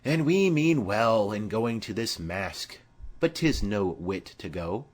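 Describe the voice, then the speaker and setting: A man's voice: lowish voice, expressive, slow. Man playing lovesick, mournful young Romeo. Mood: wistful. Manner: mournful, poetic. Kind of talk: dramatic Shakespeare audiobook reading.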